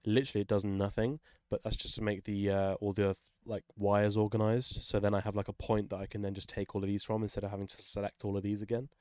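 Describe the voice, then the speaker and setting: A man thinking aloud in a casual, self-deprecating, sweary way as he works through a music software session. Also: lowish voice, flat delivery, fast, few pauses, no echo, studio quality.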